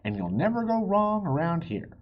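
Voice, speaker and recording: Man playing a genial, animated diner boss. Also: sits high, moderate pace, highs cut off, pitched above the performer's own voice. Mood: genial, encouraging. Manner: encouraging, folksy.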